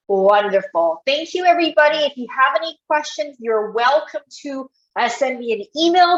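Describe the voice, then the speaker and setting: A woman physiotherapist leading an online exercise class, guiding step by step in an encouraging way, her voice a bit croaky. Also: fairly high pitch, reverberant, muffled recording. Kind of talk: live online exercise instruction.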